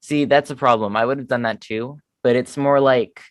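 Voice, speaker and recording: High, raspy-voiced man chatting casually. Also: steady tone, moderate pace, dry recording, studio quality. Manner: easygoing, self-deprecating. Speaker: co-host. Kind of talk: casual fan chat.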